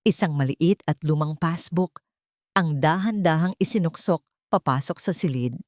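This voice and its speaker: A woman with a low-pitched voice reads a scripted love story as dramatic narration. The recording is dry and close, with no room sound. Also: normal speed, darkish tone, dry recording.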